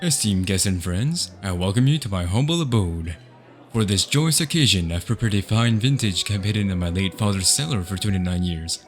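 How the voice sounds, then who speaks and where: Male actor for gracious young aristocrat, formal, composed, slightly deep voice, fan-dub drama. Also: natural voice. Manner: formal, polite, measured. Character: courteous young male noble host, composed and dignified.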